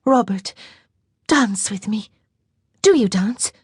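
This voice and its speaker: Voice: mid-range voice, lively intonation, normal speed, darkish tone, no echo, clean studio sound, voice pushed up, close to an everyday voice. A narrator voicing a playful, teasing young French girl in an audiobook, in a lilting, coquettish manner. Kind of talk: audiobook character voice.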